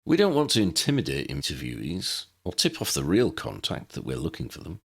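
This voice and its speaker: A man's voice: low-pitched, wildly swooping pitch, fairly quick, gravelly, slightly rough, full character voice. A man voicing an earnest, decisive male head of wizard police, direct, polite and slightly irritable, in an audiobook story.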